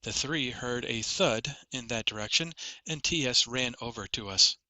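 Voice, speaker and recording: Guy narrating his fantasy audiobook, really bright sharp voice, super dry close sound. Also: mid-range voice, normal speed, no echo. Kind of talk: scripted first-person story narration.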